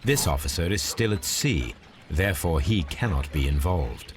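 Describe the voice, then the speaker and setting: An actor playing a keen, confident middle-aged British gentleman detective in a video game, speaking formally and precisely. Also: low voice, lively intonation, moderate pace, background noise. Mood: analytical. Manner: precise, formal, articulate, self-assured. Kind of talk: video game cutscene acting, deductive monologue.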